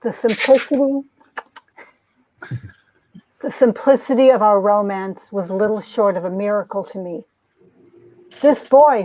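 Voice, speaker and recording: Dull dry recording, woman doing high voiced earnest young romance comic heroine, melodramatic narration. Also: a little mellow, no echo, close to an everyday voice. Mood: lovestruck. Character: lovestruck young society woman narrating her own romance. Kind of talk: dramatic reading of a 1950s romance comic, first-person narration.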